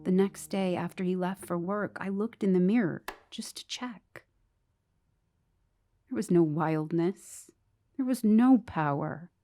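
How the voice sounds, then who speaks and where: A woman plays a brooding, insecure narrator of an audio ghost story. Her voice is lowish and soft-edged, dark in tone, and she confides bitterly and intimately over musical underscore. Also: measured pace, natural voice. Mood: bleak, bitter. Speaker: narrator and lead character of a horror audio drama. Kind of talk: dramatic first-person ghost story monologue. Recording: music behind the voice.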